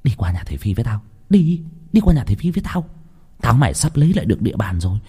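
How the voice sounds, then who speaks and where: A man's voice: mid-range voice, very animated, rapid-fire, warm tone, husky, big-room sound. A man voicing a cruel middle-aged female gangster in an audio story, scolding and foul-mouthed in a snappish way. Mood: bossy, scolding. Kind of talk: acted audio story dialogue.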